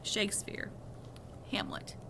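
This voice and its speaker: A woman's voice: takes it slowly, noisy background. A woman reading a children's novel aloud expressively, now and then correcting a small slip. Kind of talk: read-aloud storytelling.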